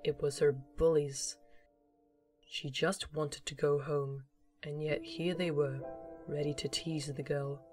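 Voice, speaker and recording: A narrator reads scripted story prose for an amateur audio drama. The voice is medium in pitch, with quiet music behind it. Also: little pitch movement, slow pace.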